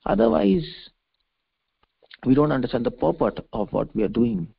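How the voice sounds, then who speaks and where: Man, scripture lecture, fry-edged voice, emphatic, repetitive, stop-start phrasing, lo-fi conference call. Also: mid-range voice, sing-song. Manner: emphatic, repetitive, halting phrases with restated words. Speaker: preacher giving a scripture lecture. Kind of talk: religious discourse, explaining a verse. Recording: dull conference-call audio.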